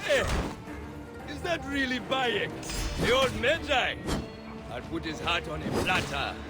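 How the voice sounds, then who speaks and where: An actor playing a menacing, mocking bounty hunter in a video game, calling out shouted taunts. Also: male voice, high-pitched, very animated, a little slow. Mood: taunting. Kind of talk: video game combat acting. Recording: loud music behind the voice.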